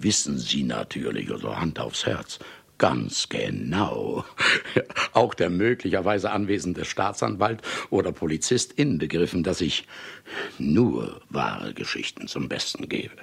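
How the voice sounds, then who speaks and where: A man's voice: a bass voice, big pitch swings, unhurried, exaggerated voice. An actor in a German radio play playing a cynical, menacing man of letters who kills for material, with grandiloquent, sardonic, theatrical delivery. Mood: sly, confiding. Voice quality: gravelly, husky. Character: cynical, menacing famous male writer who murders for material.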